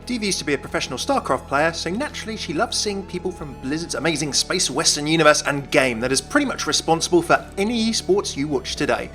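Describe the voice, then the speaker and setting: A man's voice: on the high side, big pitch swings, fast, hardly any pauses, fairly bright tone, soft background music. A man narrating a scripted gaming video as its presenter.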